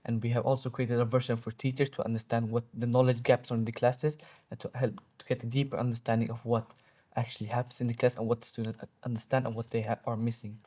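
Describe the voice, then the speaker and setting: Flat, mellow-voiced teenage boy. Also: mid-range voice, quick pace. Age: teens. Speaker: student. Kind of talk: interview answer.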